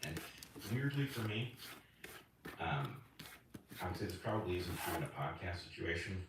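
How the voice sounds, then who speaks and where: Reflective male guest with a very deep voice, recorded from a distance in an echoey room. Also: lively intonation, moderate pace. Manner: reflective, loose, filler-heavy. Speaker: podcast guest. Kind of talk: casual conversation. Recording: echoey room, distant mic, loud noise.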